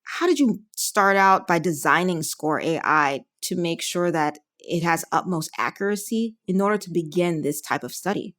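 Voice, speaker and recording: A woman's voice: fairly low pitch, normal speed, few pauses, a bit bright. A woman hosting a medical podcast interview, asking prepared questions as a neurologist. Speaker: podcast host, physician.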